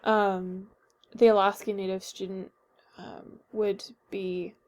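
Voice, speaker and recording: A woman's voice: medium pitch, a little mellow. A young woman with a Northern Irish accent, a little sick, giving a class paper presentation with frequent hesitations and ums. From Northern Ireland. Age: young adult. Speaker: student presenter.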